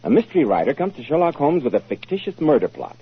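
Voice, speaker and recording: Casting: American male announcer, vintage radio style, emphatic and polished, husky with wide swooping inflection. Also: a little high, sing-song, fast. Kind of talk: 1940s radio announcing and sponsor pitch. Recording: muffled 1940s radio broadcast recording.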